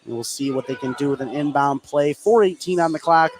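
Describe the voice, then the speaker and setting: High-voiced man calling live basketball. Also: a little fast. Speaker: play-by-play sports announcer. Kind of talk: live basketball radio commentary. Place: indoor gymnasium, courtside. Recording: clear background noise from a gym.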